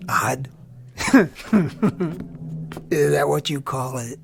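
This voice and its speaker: An actor playing a tormented, bitter young army lieutenant in an intense radio drama style. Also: male voice, mid-range voice, steady tone, very slow, creaky. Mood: bitter, weary. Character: tormented young army lieutenant who sees death on faces. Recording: radio drama with music underneath.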